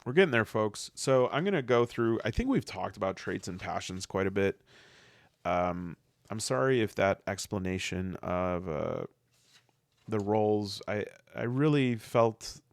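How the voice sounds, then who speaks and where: A man with a fairly low, gravelly voice, recorded close and dry with almost no room sound. He talks through game rules casually and earnestly, stopping and restarting as he thinks, and he sniffles from a cold that's coming on. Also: animated, measured pace, dry recording. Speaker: solo tabletop game player and podcaster. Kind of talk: casual rules review, reading aloud and commenting.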